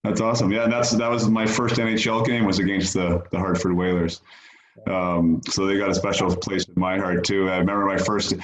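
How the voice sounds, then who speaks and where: A male podcast host interviewing a guest in a casual, halting way, with many fillers and restarts. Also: low-pitched, monotone, reverberant, low-quality recording.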